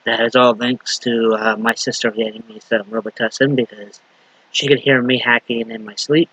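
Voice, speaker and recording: A male minister at a mid pitch, delivering a sermon at an unhurried pace and pausing on fillers and restarts. A slight lingering cough shows in his voice. The recording is dry, with little room echo. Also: little pitch movement, fairly bright tone, husky. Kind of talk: sermon with story reading.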